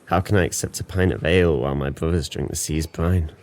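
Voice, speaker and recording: An actor playing a guilt-ridden, drunken former sailor in an audio drama, bleak and bitter, with a brooding, poetic delivery. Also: male voice, rumbling low, fast, darkish tone. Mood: despairing. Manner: brooding, poetic, theatrical monologue.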